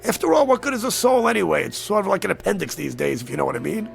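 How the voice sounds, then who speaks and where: A man's voice: sits high, lively intonation, racing, gravelly, exaggerated voice. An older man acting in a radio drama as a sly, gleeful devil posing as a crazy old man, with a wheedling, mock-polite manner. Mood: sly persuasion. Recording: music under the voice.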